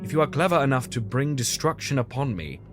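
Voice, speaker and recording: Male actor as caring Victorian gentleman, lively intonation, easily alarmed, formal old-fashioned wording, audio drama, clear music behind. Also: mid-range voice, fast talker, close to an everyday voice. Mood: grave, troubled. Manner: earnest, exclamatory, old-fashioned formal speech.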